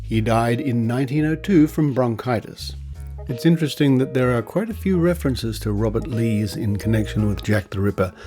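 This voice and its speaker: Deliberate Australian male podcast host over music. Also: lowish voice. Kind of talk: scripted podcast narration with asides. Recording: music behind the voice.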